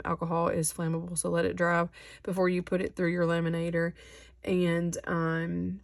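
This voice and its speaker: A woman's voice: on the low side, creaky, no room sound. A woman with a cold, a little sniffly, casually showing her handmade craft journals at her work table, rambling with many ums. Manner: relaxed, rambling, many ums and self-corrections. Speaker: craft channel host. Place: craft table indoors, hands-on demo.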